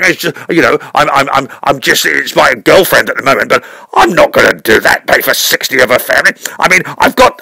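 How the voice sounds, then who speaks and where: A creaky old gentleman envoy played by a man stammers through a comic radio call. He rambles and repeats himself as if a few whiskies in, with gravel in the voice and a close, dry sound. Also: on the high side, no echo, heavily acted. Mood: flustered. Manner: stammering, repetitive, tipsy. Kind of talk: spoof celebrity phone call.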